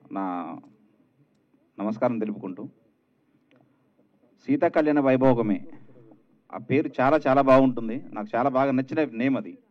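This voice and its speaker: A man giving an informal, earnest speech at an event, halting and repeating phrases in a dull recording. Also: medium pitch. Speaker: guest speaker at a film launch.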